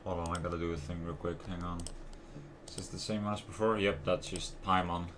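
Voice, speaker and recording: A man hosting a livestream, giving casual, expressive, rambling game commentary addressed to his viewers. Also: sits low, fairly quick, noisy background. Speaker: livestream host.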